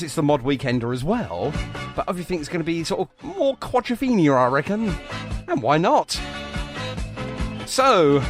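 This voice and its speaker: A British man presents a radio show in a fairly high, very animated voice. He is chatty and jokey, quick to poke fun at himself. Music plays behind him. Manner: chatty, jokey, self-deprecating.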